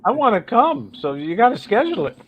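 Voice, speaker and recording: A man's voice: very high-pitched, sing-song, fairly quick, dull audio. A man co-hosting a live drone show, upbeat and encouraging, chatting and reading quiz questions. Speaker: co-host. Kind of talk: casual chat and quiz reading.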